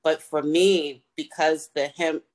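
A middle-aged woman farmer on a video call webinar, explaining her experience in a reflective, measured way. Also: low voice, steady tone, darkish tone. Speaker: farmer panelist. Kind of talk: webinar panel answer. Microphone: video call webcam.

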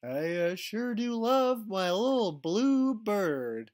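A man voicing an excitable, simple-minded man-child who talks in broken grammar and squeals a bird song on a prank call. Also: sits high, slow pace, pitched above the performer's own voice, exaggerated voice. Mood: giddy.

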